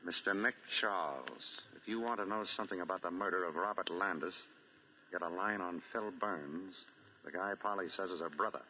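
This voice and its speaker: An actor playing a suave, wry American husband and amateur detective with deadpan wit, in 1940s radio style. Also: male voice, sits low, normal speed, heavily acted. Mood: wry, teasing. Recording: muffled, faintly noisy 1940 radio broadcast.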